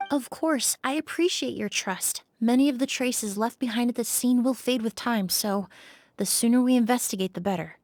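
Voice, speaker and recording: Brief: actress as a cool-headed young woman sleuth, slightly high voice, measured and precise. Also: a little mellow, no room sound, close to an everyday voice. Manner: measured and analytical. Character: calm, composed young woman investigator. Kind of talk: video game voice acting.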